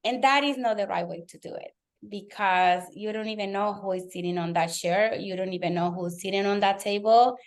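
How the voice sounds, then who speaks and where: A woman's voice: medium pitch, brisk. A woman from the Dominican Republic, a native Spanish speaker with a Dominican accent, talking animatedly as a guest in a webinar conversation. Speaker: guest real estate team leader.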